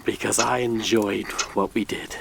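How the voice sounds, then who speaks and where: Over loud rainy ambience comes a haunted male grave robber confessing his doom. His voice is low and he speaks slowly and deliberately. The delivery is brooding and theatrical, in the manner of a gothic horror audio drama. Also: little pitch movement, gravelly, noisy background. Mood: resigned, haunted.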